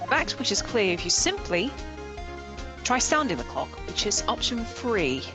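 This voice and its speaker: A woman co-hosts a let's play in casual chat. Her medium-pitched voice is lively and varied, with game music clearly behind her. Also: measured pace. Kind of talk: casual chat during gameplay.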